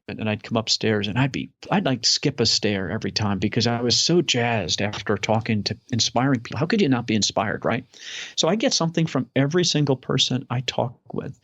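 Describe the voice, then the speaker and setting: A man's voice: mid-range voice, no room sound. A man talking as a guest in a casual interview, upbeat, enthusiastic and rambling. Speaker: podcast guest. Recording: dull lo-fi audio.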